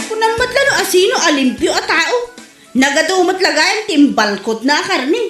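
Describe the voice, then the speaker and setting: High, lively woman playing a mocking gossip. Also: moderate pace. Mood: gossipy. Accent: Ilocano. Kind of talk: Ilocano comedy radio drama acting. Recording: echoey, music behind.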